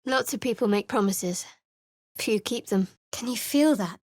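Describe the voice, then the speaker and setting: A guarded, sharp-tongued young woman adventurer for a video game. She talks in a dry, clipped, sardonic way, in a fairly high voice. The studio sound is close and completely dry. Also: measured pace, no echo. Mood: wary. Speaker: companion character.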